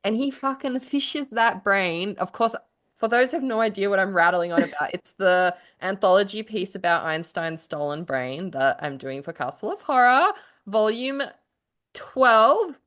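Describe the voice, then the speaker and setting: A woman in a casual chat between writers, telling stories in an effusive, animated way with the odd casual swear word. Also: medium pitch, moderate pace.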